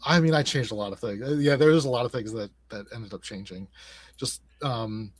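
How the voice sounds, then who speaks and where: A man with a medium-pitched voice talks casually as an interview guest. He thinks aloud in halting, broken phrases. The sound is close and dry. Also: dry recording. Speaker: game designer, interview guest.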